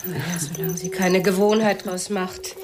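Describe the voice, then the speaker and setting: A woman's voice: fairly low pitch, fairly even intonation, normal speed, noisy background, natural voice. A woman acting a cool, resentful wife and mother in a German radio play, speaking in a dry, reserved way.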